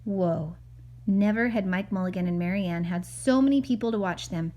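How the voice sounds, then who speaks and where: A woman's voice: medium pitch, moderate pace, dark tone. A woman reading a picture book aloud to a child as a mother, expressively, with chatty asides and questions.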